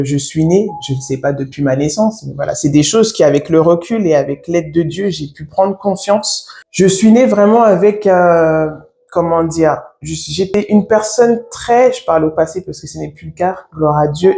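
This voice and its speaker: Halting woman in her thirties giving testimony. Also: low voice, vocal fry, mellow. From France. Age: 30s. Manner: halting, reflective, many pauses. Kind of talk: personal faith testimony. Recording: echoey room.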